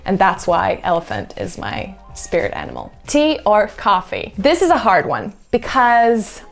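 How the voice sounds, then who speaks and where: A woman's voice: mid-range voice, wildly swooping pitch, measured pace, vocal fry, faint music behind. A woman in her twenties from Latvia, answering get-to-know-me questions in a chatty, reflective way and laughing at herself now and then. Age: 20s. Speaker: YouTuber. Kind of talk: casual get-to-know-me vlog.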